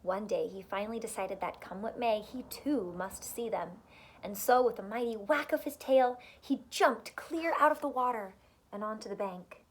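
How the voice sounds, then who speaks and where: A woman teacher reading a storybook aloud to children, expressive, with dramatic pauses and emphasis. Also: medium pitch, rough recording.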